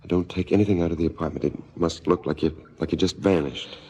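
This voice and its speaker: An actor playing a secretive man in love with a shady business, gravelly and terse, in a radio drama. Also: low voice, fairly even intonation, quick pace, mellow, dull audio, natural voice. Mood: urgent, guarded. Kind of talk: 1970s stereo radio drama acting. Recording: noisy radio drama recording.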